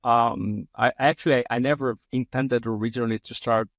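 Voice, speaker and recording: A man's voice: medium pitch, steady tone, a little fast, dry recording, clean studio sound. A man from Brazil with a Brazilian Portuguese accent, hosting an interview in English as a second language, effusive and often restarting his sentences. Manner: effusive, frequent restarts and repeated words. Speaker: podcast host. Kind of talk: conversational interview.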